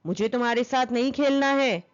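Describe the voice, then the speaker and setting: A woman's voice: fairly high pitch, brisk, clean tone, lo-fi sound, lightly acted. A woman voicing a tired, irritated male lion in a children's story, curt and wanting to be left to rest.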